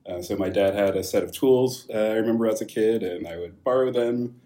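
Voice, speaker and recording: Low-voiced man, relaxed and thoughtful. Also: brisk. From California, USA. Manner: thoughtful, many fillers and restarts, laughs lightly. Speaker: guest, wine company founder. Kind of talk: casual interview answers.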